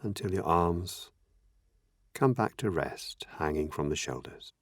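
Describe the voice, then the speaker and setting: A man leading a guided meditation as a teacher, speaking gently in measured, unhurried phrases with long pauses. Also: sits low, expressive, no room sound, clean studio sound. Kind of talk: guided meditation, slow spoken instructions.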